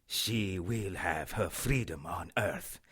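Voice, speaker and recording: Slow, deep, menacing false priest. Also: male voice, a bit bright, husky, exaggerated voice. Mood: cold, ominous. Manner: slow, contemptuous, solemn pronouncements. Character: cold menacing male demonic cultist posing as bishop priest. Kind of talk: audio drama acting.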